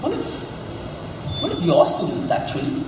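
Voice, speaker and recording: A man's voice: normal speed, natural voice. An actor in a stage play playing a crude, cocky womanizer who needles others and gets defensive. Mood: goading. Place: theatre stage, recorded from far off. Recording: echoey hall, distant mic, faint noise.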